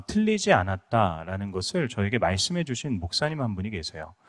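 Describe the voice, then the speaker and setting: A middle-aged male pastor from Incheon giving a conference lecture, telling stories earnestly with many fillers. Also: mid-range voice. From Incheon, South Korea. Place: conference hall.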